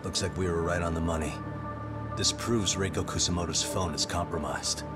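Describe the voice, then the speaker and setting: An actor playing a cool, shrewd investigator in a dubbed video game, confident, dry and probing. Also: male voice, low-pitched, fairly even intonation, moderate pace, natural voice. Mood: analytical. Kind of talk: English dub video game cutscene acting. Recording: loud music over the voice.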